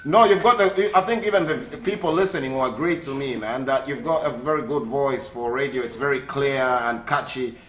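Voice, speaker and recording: A man's voice: mid-range voice, moderate pace, hardly any pauses, a bit bright, reverberant. A man from Zambia hosting a sports radio show, asking friendly questions with many hesitations and fillers. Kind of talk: radio phone interview. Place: living in the UK.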